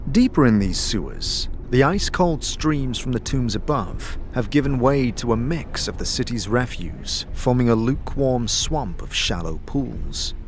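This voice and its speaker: Male narrator for fantasy audio drama: mid-range voice, deliberate descriptive reading, noisy atmosphere behind. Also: mid-range voice, expressive. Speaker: narrator and game master. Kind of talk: scripted second-person fantasy narration.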